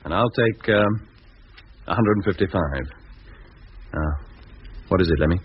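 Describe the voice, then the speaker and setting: An actor playing a brisk, exasperated male captain of a moon rocket crew, in 1950s radio drama style, giving clipped, authoritative orders. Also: deep voice, husky. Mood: tense, controlled. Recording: dull, noisy 1950s radio recording.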